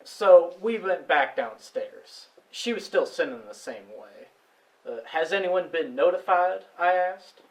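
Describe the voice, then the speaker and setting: Halting farmer witness, distant amateur actor. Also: male voice, on the high side, lively intonation. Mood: earnest, uneasy. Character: plainspoken male farmer witness recounting a discovery. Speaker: stage actor. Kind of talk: amateur stage play dialogue.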